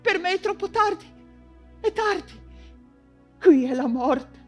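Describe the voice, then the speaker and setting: An ailing, imprisoned woman writer in a stage monologue. Her voice is high and anguished, and she speaks slowly and haltingly, repeating herself as if fevered. Faint music plays underneath. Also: lively intonation, exaggerated voice. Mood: anguished, tender. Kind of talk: dramatic stage monologue in Italian theatre.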